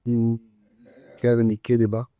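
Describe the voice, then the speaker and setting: A man's voice: medium pitch, completely flat delivery, moderate pace, dark tone, clear, resonant tone, no echo. A male host interviewing an actor, asking follow-up questions and talking directly to viewers.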